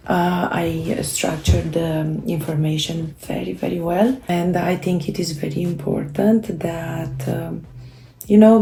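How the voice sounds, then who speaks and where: A woman whose first language is Romanian talks slowly and haltingly, often stopping to restart her sentences. Her voice is gravelly, fairly low and mellow. The recording has a noticeable room echo. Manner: halting, many fillers and restarts. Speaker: self-help creator. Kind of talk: casual motivational talk.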